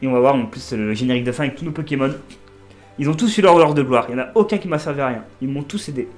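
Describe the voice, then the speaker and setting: A man with a blocked nose doing casual, excitable let's play commentary as a gaming creator. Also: racing, faint music behind. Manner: excitable, pitch jumping up on exclamations.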